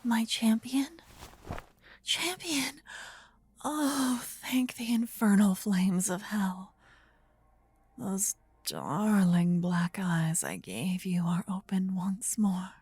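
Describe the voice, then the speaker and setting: A woman's voice: medium pitch, steady tone, very slow, darkish tone, dry recording, full character voice. A woman playing a possessive demon queen, doting yet wrathful, in a whispery ASMR fantasy roleplay with grand, theatrical phrasing. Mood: tender, worried. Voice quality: breathy, whispery.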